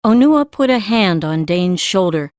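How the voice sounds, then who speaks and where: Female narrator for fiction audiobook. Medium pitch, scripted storytelling, dry studio recording. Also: expressive, a little slow, a little mellow, no echo.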